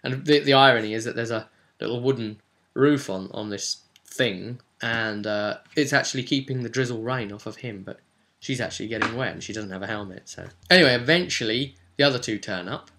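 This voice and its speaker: A man casually recapping a tabletop roleplaying session as game master, telling it in an animated storytelling way. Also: medium pitch. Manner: animated storytelling, slipping into quick character quotes.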